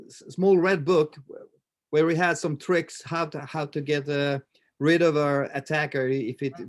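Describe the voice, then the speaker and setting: Swedish guy in his 50s, kinda high voice, lots of uh and um, stops and restarts. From Sweden. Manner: halting, many fillers and restarts. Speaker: martial arts instructor, guest. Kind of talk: casual interview chat.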